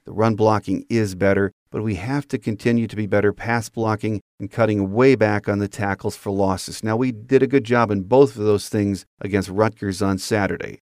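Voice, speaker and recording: A man's voice: fairly low pitch, steady tone, normal speed, hardly any pauses, darkish tone, dry recording, studio quality. An American man hosting a sports podcast, delivering scripted commentary as a monologue. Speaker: podcast host.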